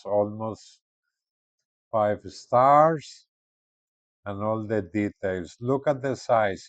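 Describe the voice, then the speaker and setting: A man giving casual unboxing and product commentary in an informal, halting way, often repeating words. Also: fairly low pitch, dry recording, highs cut off. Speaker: product reviewer.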